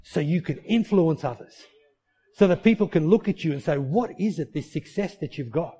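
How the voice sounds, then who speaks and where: Mellow-voiced man preaching a sermon. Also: on the high side, lively intonation, moderate pace, husky. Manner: earnest preaching, rhetorical questions. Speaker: pastor. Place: church.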